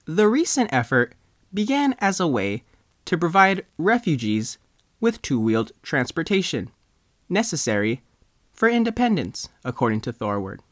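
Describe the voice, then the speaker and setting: A man reading a scripted news story as a reporter, in measured, short phrases. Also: a little high, big pitch swings, no echo, studio quality. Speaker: news reporter and writer reading own article.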